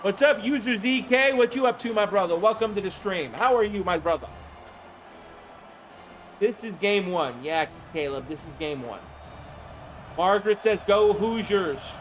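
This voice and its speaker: A man with a high voice and a hoarse throat calls plays on a live stream, with music faint underneath. He keeps greeting viewers as he goes and jumps into loud bursts when a play breaks. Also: moderate pace, pauses often. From Florida. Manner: chatty, greets viewers by name, excited bursts on big plays. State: hoarse from the flu. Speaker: streamer calling a simulated football game.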